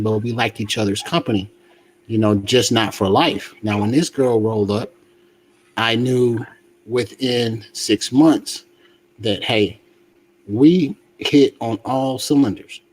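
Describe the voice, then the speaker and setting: A man with a medium-pitched voice gives advice in casual conversation. He is colloquial and halting, circling back over phrases. Faint noise and hum sit behind him. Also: rough recording.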